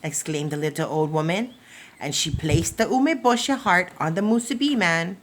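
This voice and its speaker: A woman reading a children's picture book aloud as a storytime reader, in an expressive, deliberate storytelling manner. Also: fairly low pitch.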